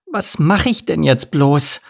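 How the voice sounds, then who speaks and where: Man voicing a fretful, high-pitched little boy duckling. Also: lively intonation, slow pace, vocal fry, breathy edge, full character voice. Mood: pondering. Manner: brooding, fretful. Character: anxious, overcautious, earnest young boy duckling. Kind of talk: storybook character voice.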